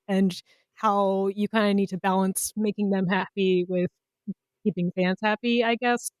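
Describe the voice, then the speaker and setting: A woman with a middling pitch talks casually as a podcast co-host. She rambles informally and hedges as she goes, in a dry, close recording. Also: no echo, low-quality recording. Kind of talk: casual chat.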